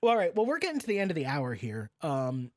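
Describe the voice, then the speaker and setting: A man's voice: on the high side, wildly swooping pitch, quick pace, no room sound, studio quality. A man hosting a podcast in casual chat, blunt, joking and freely swearing. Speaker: podcast host.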